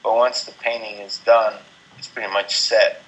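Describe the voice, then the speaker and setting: A middle-aged man from Venezuela speaking as an interview guest, thoughtful and halting, with many ums and restarts. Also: on the low side, little pitch movement, a bit bright, slight background noise, poor audio. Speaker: interview guest, artist and composer. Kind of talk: casual interview talk.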